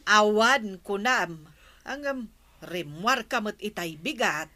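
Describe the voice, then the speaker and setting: A woman playing a chatty, inquisitive neighbour in an Ilocano comedy drama, expressive and full of exclamations. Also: medium pitch, slow pace, no room sound. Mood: curious, exclaiming.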